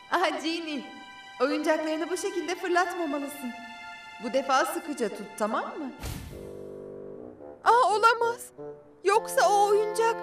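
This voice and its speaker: A caring young cartoon character, with a very high, unhurried voice full of concern. Soft music sits behind it. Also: female voice. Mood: alarmed. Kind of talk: animated children's cartoon dub.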